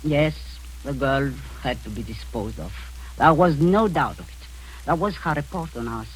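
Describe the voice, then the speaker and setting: A ruthless older spy hiding behind a doting aunt's manner, in the style of a 1940s radio drama. Her pitch swoops widely as she coos endearments and then turns icy and commanding. A faint hiss sits under the voice. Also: animated, normal speed, poor audio. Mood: coldly scheming. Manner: sugary and condescending, turning cold and commanding. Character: scheming older female spy, falsely sweet, ruthless. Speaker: villainous spy posing as an aunt.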